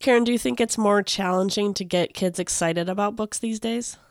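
A woman's voice: medium pitch, normal speed, no room sound. A woman working as a podcast host and radio reporter, narrating and asking questions conversationally.

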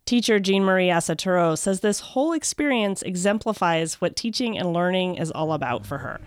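A woman's voice: lowish voice, animated, a little fast, a little hiss or hum. A woman hosting a podcast, reading scripted narration and asking interview questions. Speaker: podcast host.

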